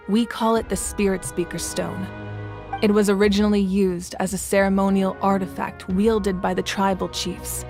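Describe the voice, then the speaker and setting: A woman's voice: medium pitch, a little mellow, natural voice. Actress as a composed, commanding woman leader. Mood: composed, assured. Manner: measured, assured, explanatory. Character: composed, authoritative female leader with a friendly, wry side. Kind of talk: video game character acting.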